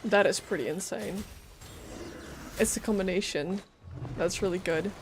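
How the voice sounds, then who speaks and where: A woman's voice: mid-range voice, a little slow, slight background noise. A woman giving casual live gameplay commentary, thinking aloud and often questioning what she sees. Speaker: game streamer. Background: faint game music.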